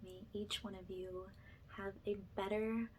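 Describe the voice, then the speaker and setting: A woman with a soft, breathy voice that often drops to a whisper, gently leading a soothing spiritual ASMR session in a reassuring way. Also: mid-range voice, flat delivery, measured pace, a little hiss or hum, rough recording. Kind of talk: ASMR, soothing guided energy cleanse.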